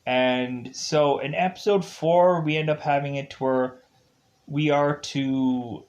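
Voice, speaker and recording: A man reviewing episodes in a casual, rambling way, halting often and circling back over his phrases. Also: on the high side, steady tone, a little mellow.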